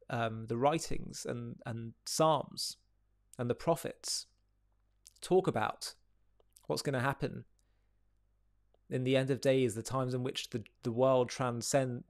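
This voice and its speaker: A man presenting reflective commentary in a measured, deliberate way, phrase by phrase. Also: medium pitch, gravelly, no echo, studio quality.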